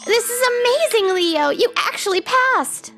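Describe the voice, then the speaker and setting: A woman's voice: very high-pitched, lively intonation, measured pace, a bit bright, heavily acted. A woman voicing a strict but naive and earnest young teacher in a manga story dub. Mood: flustered, surprised. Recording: background music under the voice.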